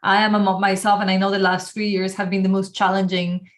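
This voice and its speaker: A woman hosting a podcast interview, chatty and lively, laughing often as she talks. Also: mid-range voice, fast talker. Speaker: podcast host and coach. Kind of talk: casual interview chat.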